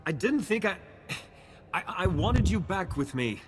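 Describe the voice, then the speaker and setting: A man's voice: sits high, big pitch swings, unhurried. A man playing a meek, lovesick jilted gambler in a video game, hesitant, stammering and earnest. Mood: pleading. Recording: long reverb, music behind.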